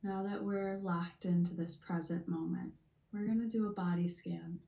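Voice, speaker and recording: Female meditation guide, mellow tone, slow soothing delivery with long pauses, echoey room. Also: on the low side, steady tone, gravelly. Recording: roomy, echoey sound.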